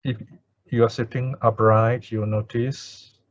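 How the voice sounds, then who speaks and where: A man who is a Buddhist teacher leading chanting and guided meditation in a calm, measured way with pauses, on dull audio. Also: fairly low pitch.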